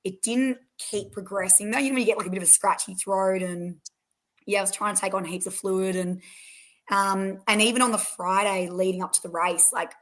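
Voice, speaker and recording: Hoarse, croaky Australian woman. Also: mid-range voice, steady tone, a little fast, a bit bright. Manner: chatty, rambling, many fillers and restarts. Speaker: race winner guest. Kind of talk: casual podcast interview.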